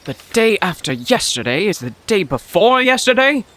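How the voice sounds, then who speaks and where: An actor playing an anxious, poetic professor in a 1930s radio adventure, with a fretful, literary and theatrical manner. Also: male voice, sits high, very animated, normal speed, a bit bright. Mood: baffled. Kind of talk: 1930s radio drama acting. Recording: echoey, slight background noise.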